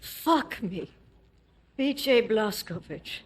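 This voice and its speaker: A woman's voice: a little high, animated, slow pace, slight background noise. An actress playing a tough, wounded female resistance leader who talks bluntly and sardonically in a video game. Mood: sardonic.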